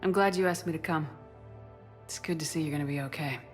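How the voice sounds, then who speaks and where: Low-pitched steady woman commander, acted. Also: quick pace, soft background music, natural voice. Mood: caring. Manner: direct, plain-spoken. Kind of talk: video game cutscene dialogue.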